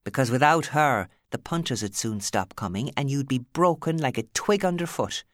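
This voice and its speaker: A woman's voice: rumbling low, lively intonation, moderate pace, no room sound, clean studio sound. A woman voicing an outspoken, protective middle-aged Irish woman in an audiobook, scolding and forthright. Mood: scornful. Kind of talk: audiobook character acting.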